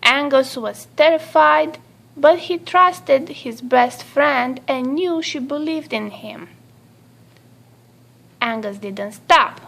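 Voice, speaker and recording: A woman reading a children's story aloud in an expressive storytelling manner. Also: high-pitched, takes it slowly, gravelly. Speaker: storybook reader.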